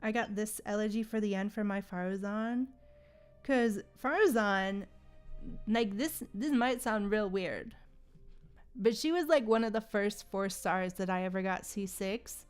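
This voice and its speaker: A woman livestreamer chatting casually in a slangy, animated way while gaming. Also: medium pitch, unhurried, smooth, faint music behind. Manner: chatty, animated retellings with frequent slangy exclamations.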